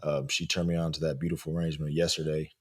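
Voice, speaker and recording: A man's voice: a bass voice, flat delivery, quick pace, slightly rough. A young man in his twenties, a guest musician, answering in an easygoing, modest way. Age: 20s. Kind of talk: casual interview talk.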